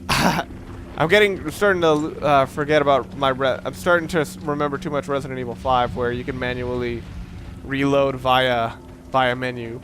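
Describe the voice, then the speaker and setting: A man giving casual, opinionated gameplay commentary, rambling and halting as he goes, with casual swearing. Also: medium pitch, steady tone, fairly bright tone, a little hiss or hum. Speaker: let's play gamer commentator.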